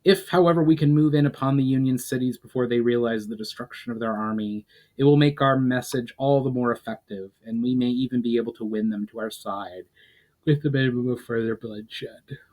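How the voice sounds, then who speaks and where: A man's voice: medium pitch, fairly quick, a little mellow, lightly acted, poor audio. Principled, weary war leader, voiced by a man. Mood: commanding.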